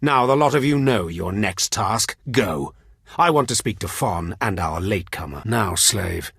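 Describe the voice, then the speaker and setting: An actor playing a cruel, contemptuous Sith overseer in a video game, sneering and commanding with a husky, menacing voice. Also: male voice, lowish voice, expressive, measured pace, fairly bright tone, no echo, clean studio sound, exaggerated voice. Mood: stern, commanding. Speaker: villainous trial master in a video game. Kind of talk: scripted game voice acting.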